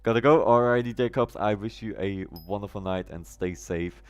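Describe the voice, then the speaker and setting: Deep-voiced German man streaming a game. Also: moderate pace, smooth. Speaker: live streamer. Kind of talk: casual live-stream game commentary, chatting with viewers.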